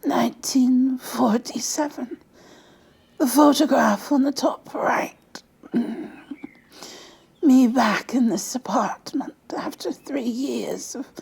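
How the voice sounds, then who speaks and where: A frail old woman, acted by a female ASMR reader, looks back with wistful tenderness. Her voice is breathy and whispered, somewhat high, and she moves very slowly through her memories, halting and repeating words as she goes. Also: a little mellow, full character voice. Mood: sorrowful. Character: frail elderly woman reminiscing about wartime, wistful and halting. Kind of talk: ASMR dramatic storytelling.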